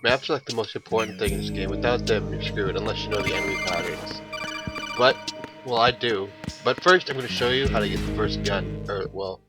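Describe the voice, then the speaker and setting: A man's voice: on the low side, brisk. A man giving casual let's play commentary on a video game, explaining things in an offhand way. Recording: loud game music under the voice. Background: loud game music and sounds.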